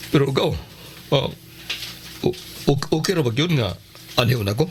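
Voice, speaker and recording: A man's voice: medium pitch, animated, moderate pace, slight background noise, lightly acted. Man acting a hesitant, subdued thirtysomething houseguest, faint ambience. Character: grieving architect in his thirties, polite, subdued houseguest. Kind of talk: radio drama acting.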